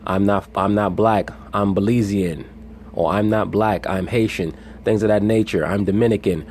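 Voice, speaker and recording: Low-voiced man lecturing with deliberate cadence. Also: a little mellow, slight background noise. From United States. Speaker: video commentator. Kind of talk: monologue commentary on played clips.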